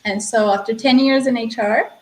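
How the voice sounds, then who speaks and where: A woman from Romania co-hosting a podcast, telling her story in a relaxed, reflective way with light laughs. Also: a little high, moderate pace, reverberant, a little hiss or hum, rough recording. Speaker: co-host, HR consultant. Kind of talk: casual podcast chat.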